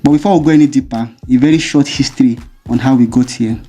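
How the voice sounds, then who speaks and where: Dark-voiced man narrating a video essay. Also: mid-range voice, faint music behind. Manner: measured, explanatory. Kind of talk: scripted commentary narration.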